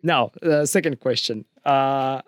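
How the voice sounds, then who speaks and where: A man's voice: mid-range voice, slow pace, no echo. A young man from Bulgaria with a Bulgarian accent, co-hosting a casual podcast in a hesitant, joking manner. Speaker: podcast co-host. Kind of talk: casual scripted podcast chat.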